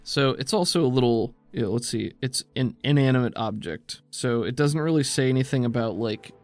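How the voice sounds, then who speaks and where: American guy running a tabletop rpg podcast, chatting through rules and narrating, medium pitch, bit of music behind him. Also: a little fast, no room sound. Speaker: game master and podcast host. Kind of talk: casual tabletop rules talk and game narration.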